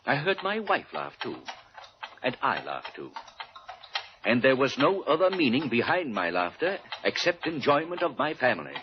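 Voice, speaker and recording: Actor as a stern formal colonel, unbending, old 1940s radio drama, animated pitch, dull recording w/ clear noise. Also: male voice, moderate pace, natural voice. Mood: earnest. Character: powerful married colonel, stiff, proud, unyielding financier. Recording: muffled, noisy vintage radio recording.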